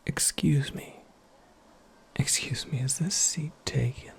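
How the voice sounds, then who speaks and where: A performer voicing an eccentric, absent-minded witch in a breathy, creaky ASMR roleplay, rambling with wry, cryptic politeness. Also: medium pitch, slow pace. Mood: politely whimsical. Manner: rambling, whimsical, politely formal, self-correcting. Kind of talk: soft-spoken ASMR roleplay monologue.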